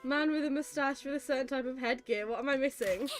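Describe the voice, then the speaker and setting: A woman's voice: sits high, fast, soft background music. A British woman giving casual, sweary, exasperated commentary as she plays a video game. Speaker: video game streamer playing and reacting. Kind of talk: casual chat, let's-play commentary.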